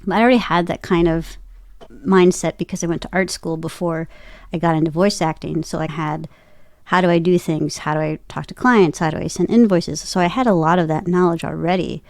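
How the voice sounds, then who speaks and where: Woman chatting casually, lowish voice, dry sound. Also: fairly even intonation, brisk, a little mellow, dry recording. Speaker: voice actor and podcaster, interview guest. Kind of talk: casual interview chat, personal anecdotes.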